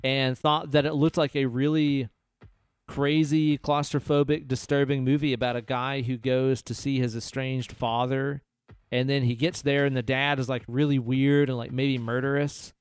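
A man with a quiet voice co-hosting a podcast, talking casually about a movie and swearing freely. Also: mid-range voice, clear, resonant tone, no echo. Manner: relaxed, swears casually, drawn-out emphasis. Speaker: podcast co-host.

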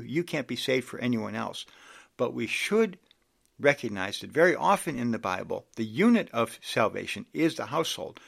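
A man's voice: medium pitch, vocal fry, fairly bright tone. A man in his sixties preaching an earnest sermon, reading scripture aloud and exhorting his listeners. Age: 60s.

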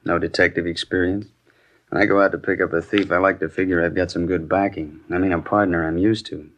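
An American actor playing a gruff, wary veteran detective in casual shop talk on a 1950s radio drama. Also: male voice, deep voice, steady tone, brisk. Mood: skeptical. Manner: laconic, colloquial. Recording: dull, band-limited old radio recording.

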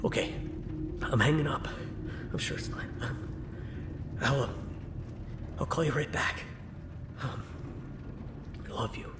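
A man's voice: mid-range voice, slow, long pauses, background noise, close to an everyday voice. A man playing a nervous, good-hearted young delivery guy in an audio drama, hesitant and stammering. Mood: anxious resolve. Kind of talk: naturalistic audio drama acting.

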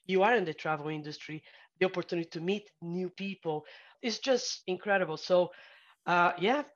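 Middle-aged woman, Brazilian accent, lowish voice, halting guest talk, slightly dull lo-fi recording. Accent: Brazilian Portuguese. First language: Portuguese. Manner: halting, many fillers and restarts, laughs easily. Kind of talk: casual interview conversation.

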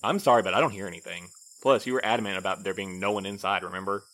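An actor playing a prim, by-the-book postmaster in an audio drama, with formal, fussy, precise speech. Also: male voice, low-pitched, fairly even intonation, rapid-fire, a bit bright. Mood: skeptical, official. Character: prim, by-the-book male postmaster, curious and pedantic. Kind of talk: naturalistic full-cast audio drama.

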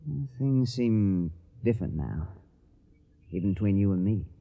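A man's voice: on the low side, expressive, measured pace, vocal fry, narrow, tinny audio, full character voice. A man playing an earnest, dutiful young farmer with a Southern accent in a radio drama. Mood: hesitant, earnest.

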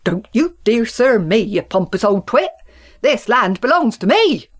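A woman voicing a blunt, stubborn middle-aged countryman farmer who talks gruffly and bellows. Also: medium pitch, very animated, moderate pace, lightly acted. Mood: indignant.

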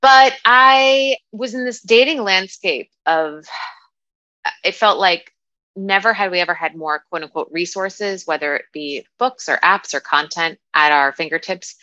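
A woman's voice: mid-range voice, measured pace, sharp tone, no room sound, highs cut off. A woman in her thirties speaking as a coach in a casual interview, with an informal, wry, self-deprecating manner. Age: 30s. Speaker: guest, dating and relationship coach.

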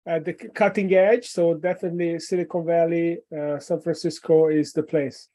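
A woman's voice: fairly low pitch, fairly even intonation, normal speed, warm tone. A woman from Eastern Europe interviewing a guest, asking thoughtful questions and rephrasing as she goes.

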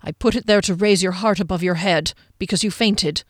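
A woman voicing a stern, cold young male healer in an audiobook, speaking in a clipped, forceful, pressing way. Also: medium pitch, brisk, no echo, studio quality, natural voice. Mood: reproachful. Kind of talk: audiobook character voice.

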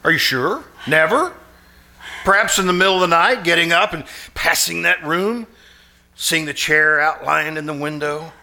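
A man's voice: on the high side, normal speed, creaky, sharp tone, heavily acted. A man playing a morbid, insistent, nervy schemer in a live stage re-creation of a 1940s radio suspense play, with a theatrical, coaxing delivery. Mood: probing. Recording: live performance recording with music behind.

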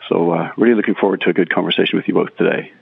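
A middle-aged man, a critical care consultant speaking in a measured way and repeating words as he thinks, in a remote podcast interview. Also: low voice, fairly even intonation, creaky, breathy edge, dry recording. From Northern Ireland. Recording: tinny phone-like line, dry.

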